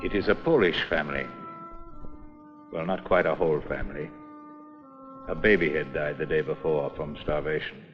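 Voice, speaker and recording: An actor playing an earnest social reformer and newspaper reporter in an educational film drama, speaking in a deliberate, pointed way. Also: male voice, extremely low pitch, steady tone, music in the background. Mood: somber. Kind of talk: educational film re-enactment acting. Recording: muffled old film soundtrack, reverberant.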